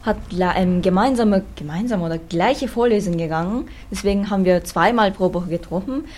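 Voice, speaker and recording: A woman's voice: medium pitch, brisk, a little mellow. A young woman from Japan with a Japanese accent, an exchange student answering interview questions with hesitant fillers. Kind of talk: interview answers in learner German.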